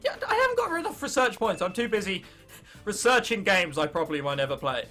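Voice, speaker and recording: A teenage man from England with an English accent, commentating on gameplay and thinking aloud in an excitable, sweary, self-mocking way. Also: high-pitched, fast, soft background music. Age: late teens. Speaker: gaming video host.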